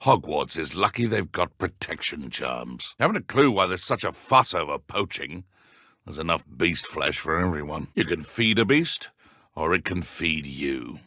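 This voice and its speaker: A man playing a cruel, sneering British dark wizard poacher in a video game, taunting with mock-polite threats. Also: sits low, sing-song, normal speed, dry recording, studio quality, heavily acted. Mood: cold menace. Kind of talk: video game villain combat barks and idle lines.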